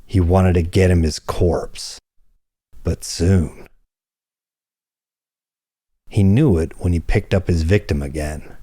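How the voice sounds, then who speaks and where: A man reading hardboiled crime fiction as an audiobook narrator, in a measured, deliberate storytelling manner. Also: sits low, warm tone, husky, no echo, clean studio sound.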